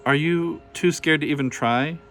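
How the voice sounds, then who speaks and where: A man's voice: a little high, normal speed, a bit bright, soft background music, voice pushed up. A man voicing a boastful, taunting girl ghost in a children's storybook, with a challenging, exclamatory delivery. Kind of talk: children's storybook read-aloud.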